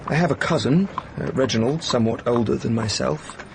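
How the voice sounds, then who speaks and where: An actor playing a solid, virtuous English diplomat who speaks politely and formally, with an English accent. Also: male voice, medium pitch, fairly quick, creaky, background noise, close to an everyday voice. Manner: polite, hesitant, formal phrasing. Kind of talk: BBC radio drama acting.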